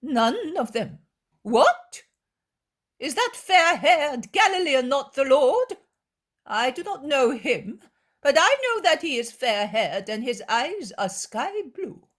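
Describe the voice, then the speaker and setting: A woman voicing a thin, sneering male scribe who speaks in a slow, nasal drawl and mocks his target. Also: mid-range voice, big pitch swings, pitched above the performer's own voice. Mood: mocking. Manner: mocking, accusing.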